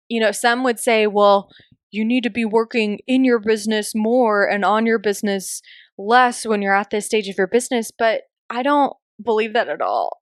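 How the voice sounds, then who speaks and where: Female podcast host and coach, mid-pitched voice, informal and emphatic delivery, very little room sound. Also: fairly bright tone, clear, resonant tone, dry recording, studio quality. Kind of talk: casual solo podcast monologue.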